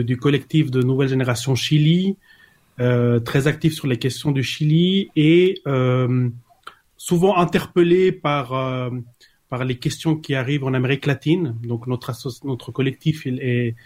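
A man's voice: mid-range voice, unhurried, a little mellow. Hesitant man, many restarts. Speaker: guest, collective member. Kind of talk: interview answers.

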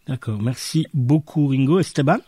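Measured male host, mellow dry voice. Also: medium pitch, slightly rough, dry recording. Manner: measured, deliberate phrasing. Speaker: interviewer, host. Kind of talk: hosted interview.

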